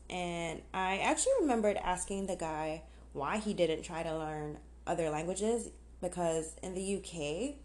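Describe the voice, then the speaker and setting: A young woman hosts a casual solo podcast in a voice that sits a little low. She talks candidly and informally, as if chatting to friends. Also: darkish tone. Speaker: podcast host. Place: outdoors on a patio.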